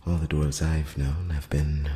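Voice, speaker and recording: Man as an ancient elf emissary, very deep, hushed. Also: level tone, echoey room, long reverb tail. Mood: amused, musing. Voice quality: very low, soft, often slipping into whisper. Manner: slow, hushed, measured, with formal courteous phrasing. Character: ancient, courtly, slyly condescending male elf emissary. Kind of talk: close-up whispered ASMR roleplay monologue. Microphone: close-up microphone.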